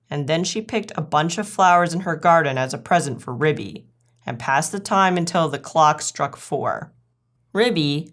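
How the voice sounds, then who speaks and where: A woman storyteller reading a scripted children's tale aloud as narration. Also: deep voice.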